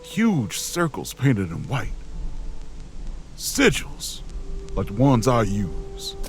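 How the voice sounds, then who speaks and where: A man acting in an audio drama as a grave, weary wanderer, narrating in halting, brooding short phrases. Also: mid-range voice, wildly swooping pitch, a little hiss or hum, heavily acted. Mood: brooding, haunted. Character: grave, weary wanderer recounting a frozen ordeal.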